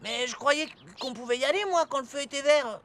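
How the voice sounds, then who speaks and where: A woman's voice: high voice, a little fast. A woman voicing an eager, competitive little boy in a children's cartoon, squabbling and exclaiming. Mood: puzzled. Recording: loud music behind the voice.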